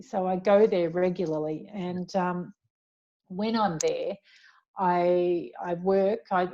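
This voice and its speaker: A woman's voice: fairly low pitch, dark tone, muffled recording. An Australian woman speaking as an author in a conversational interview, chatty and reflective as she explains at length. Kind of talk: conversational author talk, answering questions.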